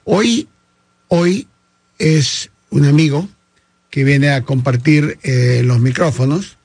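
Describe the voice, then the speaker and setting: A man's voice: on the high side, slow pace, vocal fry, a little mellow, slightly rough. A man hosting a casual interview in Spanish, talking with many hesitations and fillers. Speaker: podcast host. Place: studio with phone on table.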